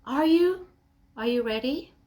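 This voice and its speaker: High-pitched woman motivational speaker. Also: lively intonation, unhurried, warm tone. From Kenya. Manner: emphatic and conversational, asks rhetorical questions. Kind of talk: motivational talk addressed to listeners.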